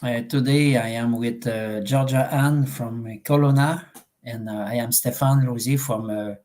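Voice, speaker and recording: A man from Quebec speaks English with a Quebec French accent, French being his first language. He asks his questions slowly and hesitantly, filling gaps with "uh". His voice sits at an average pitch for a man. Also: steady tone, darkish tone. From Quebec, Canada. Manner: hesitant, many "uh"s, slightly broken English. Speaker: interviewer. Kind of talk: casual live interview.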